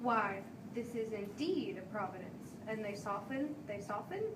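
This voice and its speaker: A woman's voice: mid-range voice, expressive, normal speed, lightly acted. An imperious judge of colonial times acted by a woman, curt and commanding. The amateur play is caught from a distance in an echoey room. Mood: brusque, probing. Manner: curt, questioning, authoritative. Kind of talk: amateur stage-play acting. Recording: echoey room, distant mic, faint noise.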